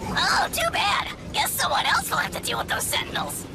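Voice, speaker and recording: A performer playing a small, cowardly, excitable alien grunt soldier, chattering comically in a video game. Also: very high voice, normal speed, gravelly, exaggerated voice, low-quality recording. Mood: excited. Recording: loud game music and vehicle noise behind.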